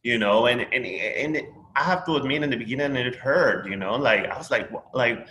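A man's voice: mid-range voice, normal speed, bright, forceful tone, echoey room, slight background noise, low-quality recording. A man from Peru with a Peruvian Spanish accent, Spanish his first language, talking in a rambling casual conversation full of fillers and restarts.

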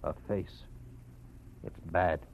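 A cold, cynical schemer. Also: male voice, deep voice, takes it slowly, gravelly, slight background noise, exaggerated voice. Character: cold, calculating man with gangster muscle. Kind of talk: 1940s radio acting. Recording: dull lo-fi old radio recording.

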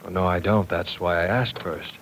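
Male professor role for vintage radio drama, acted by a man: lowish voice, formal, earnest, apologetic, faint recording noise. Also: steady tone, natural voice. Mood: hesitant, sheepish. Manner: formal, hesitant, deliberate. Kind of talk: old-time radio drama acting.

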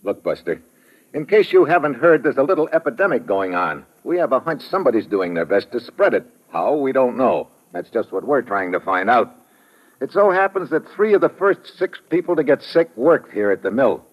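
Tough, slangy American investigator. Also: male voice, medium pitch, big pitch swings, moderate pace, highs cut off. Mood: tough, probing. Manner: hard-boiled, brusque. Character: tough, wry, slangy male investigator and narrator. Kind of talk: old-time radio drama acting. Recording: noisy vintage radio recording with clicks.

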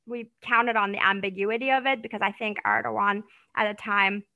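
Bright, gravelly woman chatting. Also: mid-range voice, steady tone, fairly quick, no room sound, highs cut off. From Iran. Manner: chatty, lots of likes and restarts. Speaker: guest. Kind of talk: casual podcast chat.

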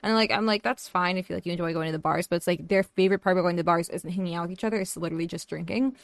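A woman's voice: lowish voice, very fast, gravelly, no room sound. A woman in her twenties telling anecdotes in casual chat with a friend as a podcast co-host, informal and blunt. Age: 20s.

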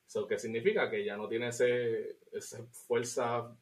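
A man's voice: mid-range voice, steady tone, a little slow. Puerto rican guy cohosting a movie podcast, laughs a lot, mixes in english. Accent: Puerto Rican Spanish. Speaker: podcast co-host. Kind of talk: casual chat, film review.

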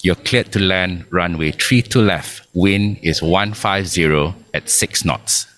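Low-voiced middle-aged man, polite radio guest. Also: a little slow, a bit bright. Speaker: guest, aviation authority chief and former pilot. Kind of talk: live radio interview chat. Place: air traffic control tower, live broadcast.

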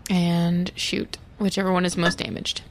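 A woman's voice: on the low side, flat delivery, moderate pace. A woman playing a tabletop game, talking casually about her turn with friends.

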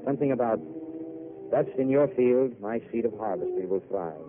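A man's voice: mid-range voice, lively intonation, normal speed, music in the background, lightly acted. A man playing a weary, eloquent poet-intellectual. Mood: wistful. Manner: eloquent, theatrical speeches. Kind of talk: 1930s radio drama acting. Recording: tinny old broadcast with hiss.

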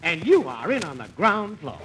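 A man playing an excitable, chummy fellow who flatters his pal, emphatic, in the style of old radio comedy. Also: a little high, normal speed. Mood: chummy, excited. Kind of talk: 1940s radio comedy acting. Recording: old radio broadcast with faint noise.

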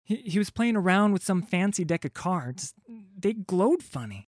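A man's voice: high-pitched, very animated, no room sound, pitched above the performer's own voice, heavily acted. A man voicing a scared young street urchin who stumbles over his words. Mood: frightened. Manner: halting, faltering. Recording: dry studio recording.

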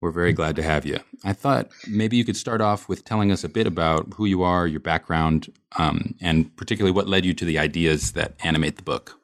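Male host, very low voice, earnest academic podcast intro, some filler words. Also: fairly quick, few pauses. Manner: earnest, with frequent ums and uhs. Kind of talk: scripted introduction then interview questions.

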